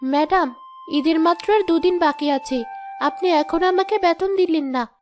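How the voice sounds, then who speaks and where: Woman acting high-voiced, aggrieved poor housemaid. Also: moderate pace. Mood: indignant. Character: poor aggrieved housemaid, young mother, plain-spoken, proud. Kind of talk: Bengali cartoon moral-story acting. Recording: music behind the voice.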